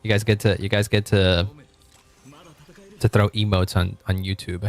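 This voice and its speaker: A man from Argentina streaming casually, rambling, correcting himself and chuckling as he reads chat, with a deep voice. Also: level tone, normal speed, faint music behind.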